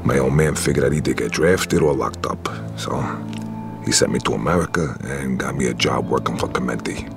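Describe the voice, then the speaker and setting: An actor playing a hard, proud, terse Sicilian-born mobster in a video game. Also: male voice, extremely low pitch, a little fast, over music. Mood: grim. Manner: terse, gruff. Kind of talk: video game cutscene acting.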